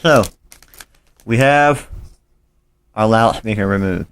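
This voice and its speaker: A man with a fairly low voice narrates a casual hands-on repair tutorial. He talks informally and a little apologetically while busy with his hands, and the sound is dry and close, as filmed on a handheld phone. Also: slow pace, clear, resonant tone, no echo.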